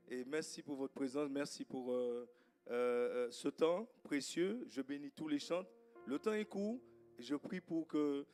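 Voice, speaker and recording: Halting Guadeloupean preacher with a raised voice. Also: male voice, a little high, a little mellow. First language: Guadeloupean Creole. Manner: halting, with many fillers and restarts. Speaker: guest preacher. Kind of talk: informal welcome before a sermon. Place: church service.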